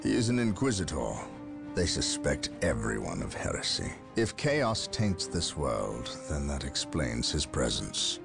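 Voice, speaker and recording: A gravelly, stern war captain. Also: male voice, very deep voice, huge pitch swings, music in the background, full character voice. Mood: resolute. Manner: grave, measured, gravelly commanding delivery. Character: stern male space marine captain, brooding and resolute. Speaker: soldier. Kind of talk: video game cutscene acting.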